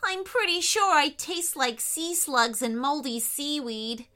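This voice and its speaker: A woman's voice: sits high, slow, bright, forceful tone, voice pushed up, exaggerated voice. A woman voicing an anxious, insistent squid in a children's story, in a pleading manner.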